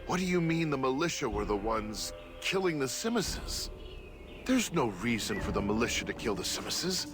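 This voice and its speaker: Man as an animated, earnest young adventurer. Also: mid-range voice, normal speed, natural voice. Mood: confused, troubled. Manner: questioning, hesitant. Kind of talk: video game cutscene acting. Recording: music under the voice.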